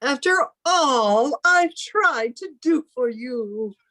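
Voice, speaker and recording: A woman's voice: high voice, expressive, slow pace, bright, forceful tone, dry recording, full character voice. A woman playing a graying, commanding, possessive elder spinster sister in a radio drama, stern and formal in manner. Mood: reproachful, indignant. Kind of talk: old time radio drama acting.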